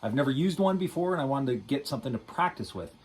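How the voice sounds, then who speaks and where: A man with a medium-pitched voice gives an informal, unscripted demo of something he built at home. He thinks aloud, pausing and hedging as he goes, with a dry, self-mocking streak. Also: expressive, breathy edge. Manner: self-deprecating, wry. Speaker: hobbyist guitarist demonstrating a homemade project. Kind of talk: casual unscripted demo vlog.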